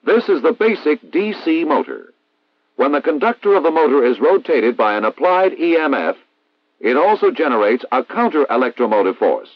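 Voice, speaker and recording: An American man reading a scripted instructional narration in a formal, measured, didactic way, as in a 1960s military training film. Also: a little high, big pitch swings, no echo. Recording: dull vintage 1960s film soundtrack.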